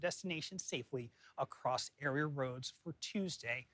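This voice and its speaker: A man's voice: medium pitch, normal speed, fairly bright tone, soft background music. A man presenting weather and chatting on a local TV news show. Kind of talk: local news forecast and chat. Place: TV studio.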